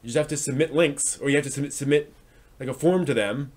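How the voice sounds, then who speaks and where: A man's voice: mid-range voice, fast talker, darkish tone. A man hosting a live stream, talking casually and unscripted to viewers, often thinking aloud in a hesitant, rambling way.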